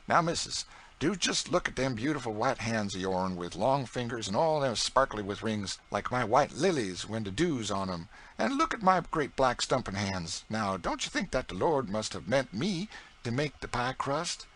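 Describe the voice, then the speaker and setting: A man's voice: mid-range voice, fairly bright tone, voice pushed up. A man voicing a proud, talkative middle-aged woman cook, with thick old rural dialect speech. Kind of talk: audiobook character reading.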